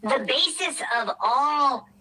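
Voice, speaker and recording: Bright, earnest lecturing woman. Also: sits high, expressive, takes it slowly, low-quality recording. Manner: earnest, pedantic explaining. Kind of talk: lecturing monologue.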